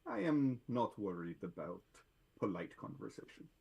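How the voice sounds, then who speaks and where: A man voicing a blunt Russian-born superpowered man in a tabletop game, with a put-on Russian accent, husky, halting as he gropes for English words. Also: lowish voice, big pitch swings, a little mellow, heavily acted. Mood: gruff. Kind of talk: tabletop roleplay in character.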